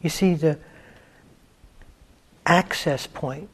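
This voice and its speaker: A man teaching a guided meditation, speaking deliberately in short phrases with long pauses, often letting endings drop to a hush and repeating key words. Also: a little high, breathy edge.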